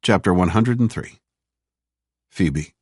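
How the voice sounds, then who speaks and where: A male audiobook narrator with a very deep voice, reading in a measured, even way. The recording is dry and close, with no room sound. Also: husky, dry recording. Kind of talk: scripted narration.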